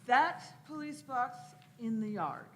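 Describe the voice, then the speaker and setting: A woman playing a brisk, stern police detective inspector in a radio play. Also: a little high, big pitch swings, a little hiss or hum, exaggerated voice. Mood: stern, suspicious. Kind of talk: live radio play reading.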